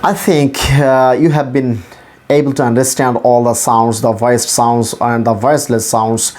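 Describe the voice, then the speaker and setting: A man teaching English pronunciation in a deliberate, repetitive instructional way, sounding out individual sounds. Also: medium pitch, fairly even intonation, darkish tone. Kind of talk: instructional pronunciation lesson to camera or screen.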